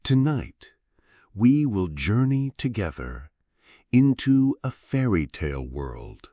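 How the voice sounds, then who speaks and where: A man narrating a scripted history story for sleep, in a gentle, soothing storyteller's manner with dramatic pauses. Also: deep voice, husky, no echo, clean studio sound.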